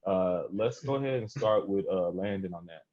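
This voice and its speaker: Low-voiced man hosting a casual podcast. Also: moderate pace, a little mellow, a little hiss or hum. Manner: rambling, slangy. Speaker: podcast host. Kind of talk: casual hosting, introducing topics. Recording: dull lo-fi audio.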